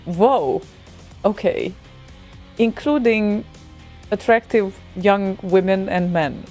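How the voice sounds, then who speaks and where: A woman from Hungary with a Hungarian accent, co-hosting a casual radio chat, animated and exclaiming as she talks. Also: mid-range voice, slow pace, faint music behind. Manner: animated, exclaiming, laughs often. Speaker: radio co-host.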